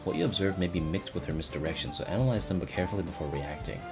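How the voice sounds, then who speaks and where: Man, low pitch, casual playthrough commentary, offhand, thinking aloud, music behind. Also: rapid-fire. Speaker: game streamer. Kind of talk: casual live playthrough commentary, reads game text aloud. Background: video game music.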